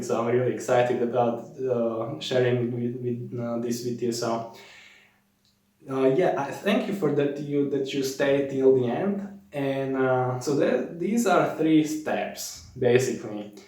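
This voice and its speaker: A man giving informal sales coaching to the viewer, speaking in a halting, repetitive way and checking in as he goes. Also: medium pitch, warm tone. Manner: halting, repetitive, often ends points with "okay?". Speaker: online sales coach, video host. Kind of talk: informal instructional talk to camera. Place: reverberant room. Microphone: placed at a distance from the speaker. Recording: very echoey room, distant mic.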